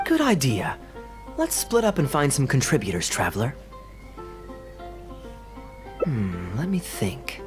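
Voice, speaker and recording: A man's voice: mid-range voice, wildly swooping pitch, slow pace, pauses often, over music, exaggerated voice. A man voicing a friendly, earnest organizer in a fantasy game, upbeat and persuasive.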